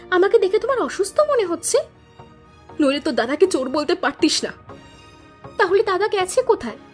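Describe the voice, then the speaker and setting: High-pitched, scolding village wife played by a woman. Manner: scolding, emphatic. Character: quarrelsome, indignant village wife. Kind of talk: Bengali cartoon fairy-tale dubbing.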